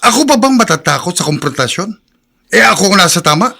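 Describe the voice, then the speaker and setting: A hot-tempered Filipino patriarch in a radio drama. His voice is sharp and rather high, swinging widely as he scolds and lays down the law. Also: very animated, quick pace, gravelly, exaggerated voice. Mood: indignant, stern. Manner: domineering, scolding. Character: stern, hot-tempered patriarch father, middle-aged.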